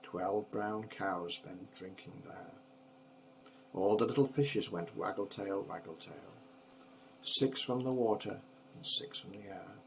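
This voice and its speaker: An older man reads children's poems in a fairly low voice with a measured, sing-song rhythm. His delivery is expressive, like a grandfather with a storybook. The homemade recording carries some background noise. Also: vocal fry, poor audio. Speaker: grandfather reading poems aloud. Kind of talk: storybook poetry reading.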